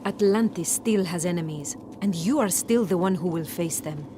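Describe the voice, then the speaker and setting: Woman as a low-voiced, solemn goddess. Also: moderate pace, close to an everyday voice. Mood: solemn warning. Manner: grave, portentous. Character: solemn goddess speaking warnings in a dream. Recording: noisy game cutscene capture.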